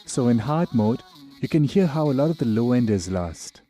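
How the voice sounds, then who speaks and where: A man narrating a software tutorial in an informal, explanatory way. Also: medium pitch, expressive, normal speed, background noise.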